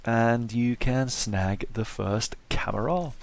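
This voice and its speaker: A man's voice: medium pitch, slow pace, creaky, heavy background noise. A man giving casual playthrough commentary with wry, self-deprecating humour. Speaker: gaming video host.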